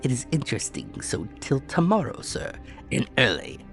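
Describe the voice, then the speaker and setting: A man voicing a commanding, unruffled Victorian submarine captain in an audiobook, with measured, courteous speech that treats danger lightly. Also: mid-range voice, wildly swooping pitch, gravelly, a bit bright, voice pushed up, heavily acted. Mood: courteous, nonchalant. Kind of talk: dramatic audiobook character voice. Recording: echoey room, music behind.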